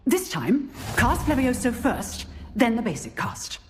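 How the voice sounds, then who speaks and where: A woman's voice: fairly high pitch, big pitch swings, a little slow, heavy background noise. A woman voicing a strict, demanding female magic professor, with a formal, commanding delivery. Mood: stern. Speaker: professor teaching dueling. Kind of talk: video game voice acting.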